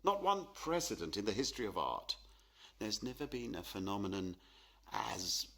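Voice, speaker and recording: Gloomy, husky, widely swinging painter father. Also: fairly low pitch, big pitch swings, normal speed, bright, forceful tone, heavily acted. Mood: brooding, confessional. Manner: theatrical, brooding, self-pitying. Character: gloomy, superstitious, proud portrait painter and father. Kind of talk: radio play acting.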